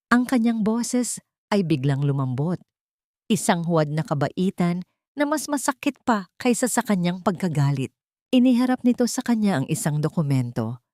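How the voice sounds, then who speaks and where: Filipino lady reading a dramatic story, kinda low voice, solemn and measured, very dry sound. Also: dark tone, no room sound. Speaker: story narrator. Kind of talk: scripted dramatic narration.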